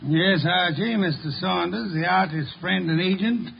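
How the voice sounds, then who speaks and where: An actor playing a brilliant, imperious detective in 1950s radio drama style, ponderous, precise and dryly sardonic. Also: male voice, high voice, normal speed, creaky, slightly rough, full character voice. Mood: assured, accusing. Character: brilliant, eccentric, unflappable older male detective, imperious. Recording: dull, noisy 1950 radio recording.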